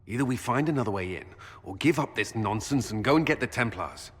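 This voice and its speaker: An impatient army commander in a game, brusque, lively pitch, big hall reverb. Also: male voice, brisk, breathy edge. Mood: exasperated. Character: blunt, impatient military commander. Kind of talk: video game dialogue acting. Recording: long hall reverb, faint noise.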